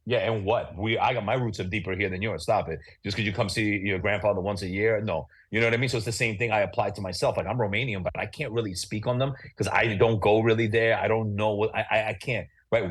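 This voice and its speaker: A man's voice: on the low side, fast, hardly any pauses, slightly rough. A man, a guest in a casual interview, blunt and opinionated as he rambles. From United States. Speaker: real estate agent, guest.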